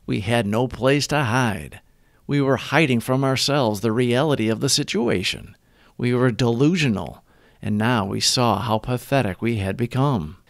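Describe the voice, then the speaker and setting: A man reading scripted daily recovery reflections as a host, with measured, deliberate phrasing. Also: medium pitch, gravelly, no echo, studio quality.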